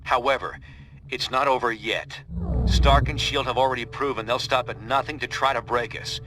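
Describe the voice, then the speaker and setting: An actor playing an earnest, resolute resistance leader in video game cutscenes. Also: male voice, mid-range voice, steady tone, moderate pace, lightly acted. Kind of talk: game cutscene narration and acting. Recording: clearly noisy background ambience.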